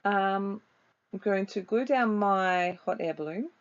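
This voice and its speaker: A woman with a slightly croaky voice, casually narrating a voice-over for her craft video in a chatty way with frequent ums. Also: medium pitch, slow, muffled recording.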